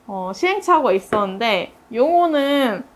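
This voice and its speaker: A woman with a fairly high voice leads a relaxed group conversation. She talks in a chatty, storytelling way, as if among colleagues she knows well. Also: moderate pace. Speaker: interviewer and project member leading the discussion. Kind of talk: casual group discussion.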